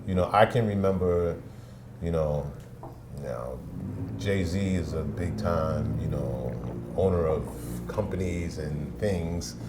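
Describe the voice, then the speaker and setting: A middle-aged man from Brooklyn with a New York accent, telling stories in a relaxed chat and leaning on "you know" fillers. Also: low voice, slow, very noisy. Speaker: music video show host and party promoter. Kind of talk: casual conversation. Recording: faint room ambience.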